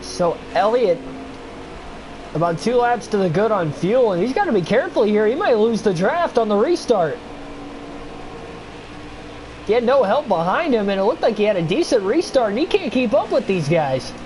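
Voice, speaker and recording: A man's voice: sits high, normal speed, long pauses, background noise. Stuffy-nosed young man calling a sim race. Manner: excitable play-by-play, chatty asides to viewers. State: stuffy nose, voice getting worn. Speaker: streamer doing race commentary. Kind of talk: play-by-play sim racing commentary.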